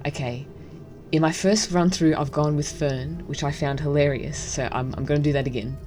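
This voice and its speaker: A woman's voice: sits low, fairly even intonation, moderate pace, darkish tone, faint music behind. A woman hosting a gaming video, casually commenting and reading the story text aloud. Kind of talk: casual game commentary and read-aloud narration.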